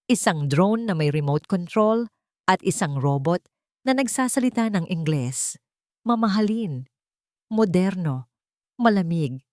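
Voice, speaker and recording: A woman narrating a scripted audio drama in a measured, earnest, dramatic storytelling manner. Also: on the low side, a little mellow, dry recording, studio quality.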